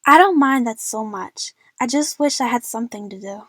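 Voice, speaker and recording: A woman's voice: fairly high pitch, expressive, a little fast, no room sound. A woman playing a feisty, outspoken and impatient young fangirl in an amateur audio drama. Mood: irritated.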